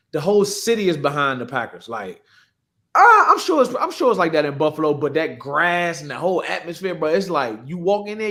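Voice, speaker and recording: Higher-voiced American man chatting sports. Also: pushed and bright. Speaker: podcast co-host, pro football player. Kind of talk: casual sports chat.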